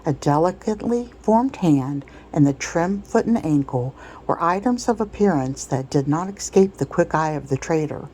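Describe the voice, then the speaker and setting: A woman's voice: low voice, animated, normal speed, few pauses, warm tone, slight background noise, rough recording. A woman reading a classic novel aloud as ASMR-style literary narration. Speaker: audiobook reader.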